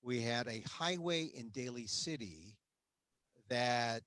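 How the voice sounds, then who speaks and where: Bright animated man hosting an interview. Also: medium pitch, slow pace, no echo. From United States. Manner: animated, interjecting, laughs often. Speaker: host. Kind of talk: conversational interview.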